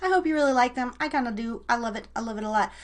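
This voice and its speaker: High-voiced, chatty woman hosting live radio. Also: very fast. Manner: chatty, playful, informal teasing. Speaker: host of a live online radio show and podcast. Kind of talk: casual live radio chat with a guest.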